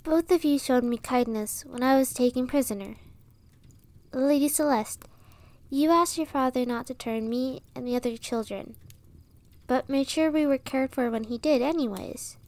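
Woman playing a high-voiced, earnest young peasant girl. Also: normal speed, a little mellow, no room sound. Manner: earnest, pleading. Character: earnest young commoner girl, pleading and brave peacemaker. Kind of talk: fantasy audio roleplay acting.

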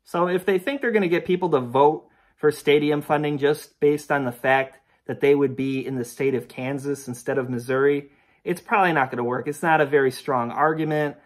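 A man's voice: a little high, a little fast. A young man in his twenties giving blunt, opinionated sports commentary to the camera from a room at home. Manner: blunt, dismissive, rhetorical questions. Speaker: sports video host.

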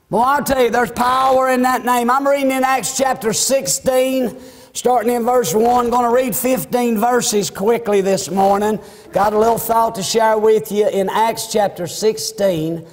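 An older man in his sixties with a Southern US accent, preaching a fervent, emphatic, folksy church sermon. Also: high voice. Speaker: Baptist preacher. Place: church sanctuary, indoors. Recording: echoey church hall with long reverb.

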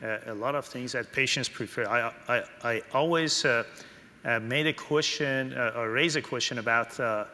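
Middle-aged male moderator, bright forceful tone, halting delivery, reverberant conference hall. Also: medium pitch, a little hiss or hum. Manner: halting, hesitant phrasing with many pauses. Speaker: panel moderator. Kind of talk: moderating a conference panel, reading questions. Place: large conference hall stage. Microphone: podium microphone.

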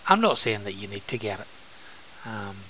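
A man with a Northern Irish accent, an art teacher giving an informal software demonstration for students. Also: fairly low pitch, big pitch swings, normal speed, a little hiss or hum, rough recording.

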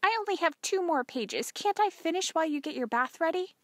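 An earnest young farm girl, acted out by a woman for a storybook. Her voice sits high and swings widely in pitch, expressive and full of feeling. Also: brisk, no echo, clean studio sound. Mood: eager. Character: young farm girl, eager, pleading and emotional. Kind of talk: audiobook character voice.

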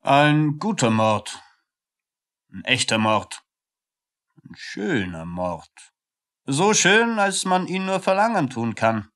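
A man voicing a policeman who savors a fine murder, self-satisfied and drawn-out, in a radio play. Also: on the high side, sing-song, fairly bright tone, full character voice. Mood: savoring, pleased.

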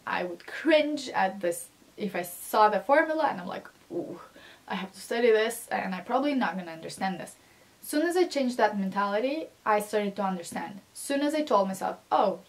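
A woman's voice: medium pitch, rough recording. Earnest young woman giving study advice. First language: not English. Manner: animated, gestures with both hands while talking; earnest, encouraging, many fillers. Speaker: vlogger. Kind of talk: casual talking-head advice. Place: small room indoors.

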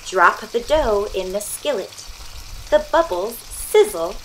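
Slow-paced woman reading a picture book. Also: on the high side, very animated, slight background noise, low-quality recording. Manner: measured, deliberate phrasing with pauses between short phrases. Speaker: read-aloud narrator. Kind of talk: expressive picture-book read-aloud.

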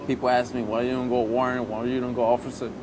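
A man in his thirties with a Puerto Rican Spanish accent talks in an enthusiastic, repetitive way. His pitch sits mid-range. Noticeable noise runs behind him. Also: flat delivery, quick pace. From Puerto Rico. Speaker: soldier interviewee. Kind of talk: casual interview. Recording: noisy field recording.

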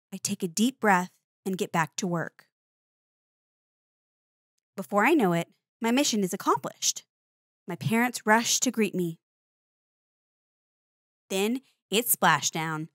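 A woman reading a children's picture book aloud with expressive, playful emphasis. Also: mid-range voice, no room sound, clean studio sound. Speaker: storybook reader.

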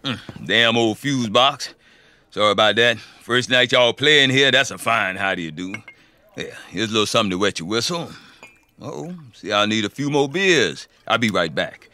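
An actor playing a folksy, apologetic 1950s roadhouse host in a radio play. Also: male voice, on the low side, expressive, moderate pace, pushed and bright. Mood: flustered, hospitable.

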